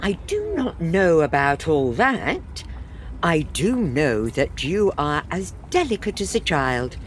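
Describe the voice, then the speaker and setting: A practical, dryly exasperated wife of middle years acted by a woman in a comic period radio farce. She speaks slowly with animated pitch, over faint background noise. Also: heavily acted. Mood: soothing, dry.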